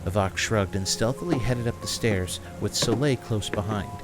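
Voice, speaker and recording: A man's voice: on the low side, normal speed, over music. A man reading scripted narration for a fantasy audio drama.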